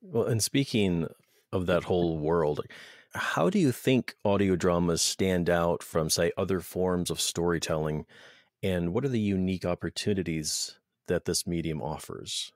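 Low-voiced male podcast host. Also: measured pace, no room sound, studio quality. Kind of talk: casual podcast hosting.

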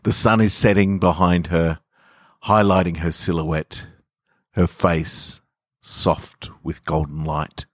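Australian male podcast host and story reader. Low voice, very dry close-miked sound. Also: steady tone, slow, no echo. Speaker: podcast host and author reading own stories. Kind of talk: casual intro and measured literary story reading. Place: garage.

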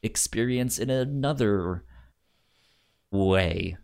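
A man with a fairly low voice talks slowly and casually about a film on a podcast. His speech is halting, and he stutters, repeating sounds and words as he works through his thoughts. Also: gravelly, a little mellow. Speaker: podcast host. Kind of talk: casual movie discussion.

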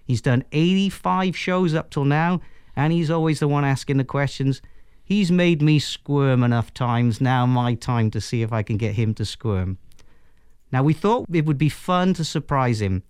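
A man's voice: mid-range voice, animated, normal speed, a little mellow, smooth, no room sound, clean studio sound. A Welsh man playfully taking over as host of a podcast, talking in an upbeat, jokey way. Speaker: guest host for the day, software executive. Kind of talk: podcast hosting.

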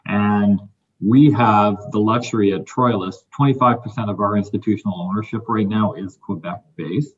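A man speaking as a mining company executive on a panel, measured and businesslike. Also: on the low side, highs cut off. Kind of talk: panel discussion.

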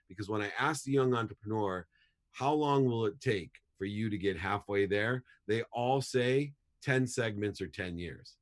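A man in his fifties, a guest speaker, telling emphatic motivational stories and repeating key phrases for punch. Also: on the low side. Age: 50s. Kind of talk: energetic motivational storytelling.

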